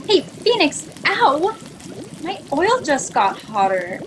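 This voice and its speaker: Brief: contrary, mischievous female ship entity played by an actress, high voice, blunt, faint background noise. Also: big pitch swings, takes it slowly, exaggerated voice. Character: brash, mischievous shape-shifting spaceship crewmate, blunt. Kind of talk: sci-fi satire audio drama acting. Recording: noisy home video-call audio.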